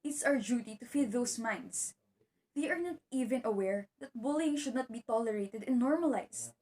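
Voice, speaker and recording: High-pitched young woman teacher, played by a woman, earnest, determined, amateur drama acting. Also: lively intonation, normal speed, darkish tone, close to an everyday voice. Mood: passionate. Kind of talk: amateur one-act play acting.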